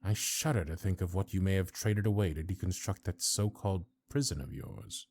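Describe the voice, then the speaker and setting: A man playing a stern, haughty old high councilor of a fantasy realm in an audio roleplay, speaking in formal, archaic, deliberate phrases. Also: vocal fry, darkish tone, slightly rough, dry recording, heavily acted. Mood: scornful.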